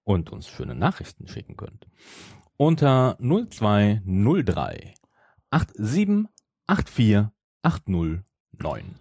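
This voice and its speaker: A man in his forties talks casually as a podcast co-host. His husky voice swings freely up and down and sounds dry and close. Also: fairly low pitch, wildly swooping pitch, takes it slowly, darkish tone, dry recording. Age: 40s. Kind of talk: casual chat about audio dramas.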